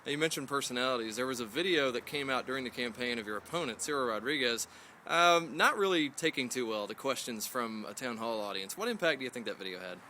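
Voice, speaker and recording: A man interviewing a politician, asking brisk, friendly questions. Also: mid-range voice, expressive, bright, forceful tone, a little hiss or hum.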